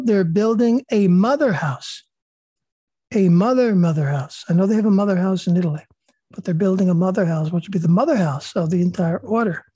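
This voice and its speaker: Mellow, husky woman leading prayer. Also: fairly low pitch, no echo. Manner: calm and measured, with many fillers and restarts in chat. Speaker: host of an online prayer and reading group. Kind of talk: leading prayers, then casual group chat.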